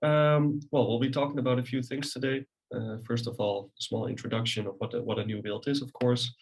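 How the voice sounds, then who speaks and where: A man's voice: mid-range voice, fairly even intonation, fairly quick, breathy edge. A young man with a Dutch accent presenting a webinar in a chatty way, full of ums and restarts. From Netherlands. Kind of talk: casual presentation.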